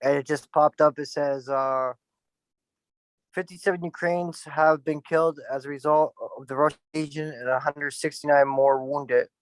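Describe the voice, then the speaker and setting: A man with a fairly high voice gives blunt, opinionated takes in loose casual chat, full of "like". The sound is dry and close. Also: steady tone, moderate pace, no room sound, rough recording.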